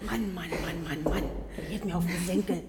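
An actress playing a gruff, by-the-book woman prison warder in a radio drama, curt and bossy. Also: lowish voice, steady tone, moderate pace, natural voice. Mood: muttering, annoyed. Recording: echoey prison room, distant, noisy.

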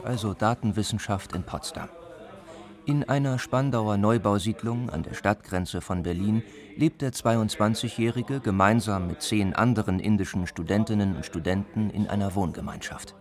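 A man reading a scripted report as a reporter, in a calm, measured way. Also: lowish voice. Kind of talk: scripted narration.

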